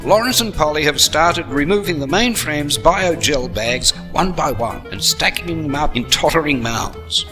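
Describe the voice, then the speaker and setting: A man's voice: a little high, lively intonation, measured pace, few pauses, music in the background. A man narrating a comic audio story, with chatty asides to the listener.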